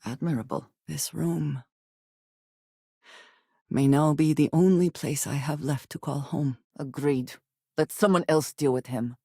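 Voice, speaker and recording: An actress playing a guarded, formal woman spacefarer in a video game, loyal but wary and dry, with measured phrasing and few contractions. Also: low-pitched, warm tone, husky, no room sound, full character voice. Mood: hurt, guarded. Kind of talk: video game voice acting, scripted dialogue.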